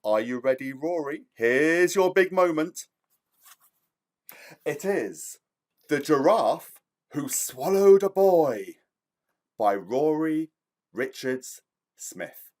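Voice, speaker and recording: A man's voice: on the high side, lively intonation, takes it slowly, pauses often, a little mellow. A man, a children's author, speaking to young children in an enthusiastic, theatrical storytelling style with emphatic delivery.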